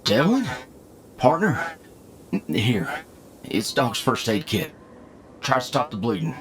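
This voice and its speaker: An actor playing a loyal, steady gunslinger, earnest and urgent, in an anime-style audio drama. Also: male voice, mid-range voice, slow pace, a crowd in the background. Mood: urgent, worried. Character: loyal, steady male gunslinger pleading for his partner's help.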